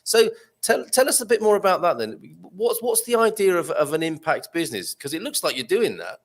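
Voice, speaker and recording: A male host leads a relaxed interview with real enthusiasm. His pitch rises and falls a great deal, and he repeats words while heaping praise on his guest. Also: a little fast. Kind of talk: casual interview chat.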